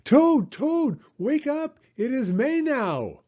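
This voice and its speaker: Squeaky, eager storybook frog, big swooping voice; lo-fi audio. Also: male voice, slow pace, heavily acted. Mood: excited shouting. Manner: high, shouting, excited. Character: eager excited male frog friend.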